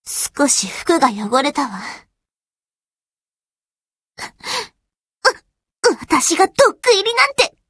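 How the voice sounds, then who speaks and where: Snooty tsundere anime game girl, really high voice, slow n curt, bossy scolding. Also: big pitch swings, fairly bright tone, no room sound, studio quality, exaggerated voice. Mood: indignant. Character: haughty, prickly young warship girl who scolds her commander. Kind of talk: Japanese anime game character lines.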